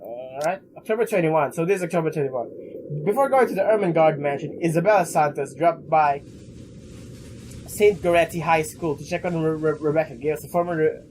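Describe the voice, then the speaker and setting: A young man doing a let's play, haltingly reading game text aloud with casual commentary. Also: on the high side, background noise. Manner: halting, stumbles while reading.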